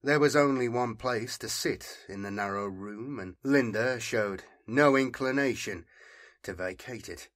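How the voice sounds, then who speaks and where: A man's voice: mid-range voice, unhurried, fairly bright tone. A man narrating scripted fiction as an audiobook reader, telling the story dramatically.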